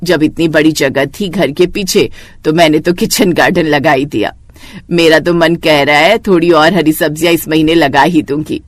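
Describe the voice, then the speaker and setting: Caring, proud elderly mother-in-law, woman acting her, lowered voice, homely earnest delivery for a storybook tale. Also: steady tone, normal speed, no echo. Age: older. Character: proud, caring older mother-in-law who grows vegetables. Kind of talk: Hindi moral story voice acting.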